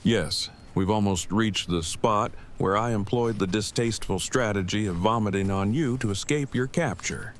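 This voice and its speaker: A man playing a stiff, low-voiced pedantic inventor. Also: moderate pace, hardly any pauses, a little hiss or hum, lightly acted. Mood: stiff, matter-of-fact. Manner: formal, verbose, precise wording. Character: Eugene, stiff, pedantic, awkward but earnest inventor man. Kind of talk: fan audio drama acting.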